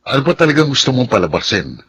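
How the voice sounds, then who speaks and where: An actor playing a restless, wary husband in a Filipino radio drama. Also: male voice, medium pitch, very animated, fast talker, fairly bright tone, husky, exaggerated voice, poor audio. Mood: wary, confrontational.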